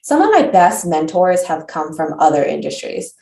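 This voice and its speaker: A woman's voice: low-pitched, big pitch swings, normal speed, warm tone. A woman hosting an interview, asking questions in an encouraging, thoughtful manner. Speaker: host. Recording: echoey room, far from the mic.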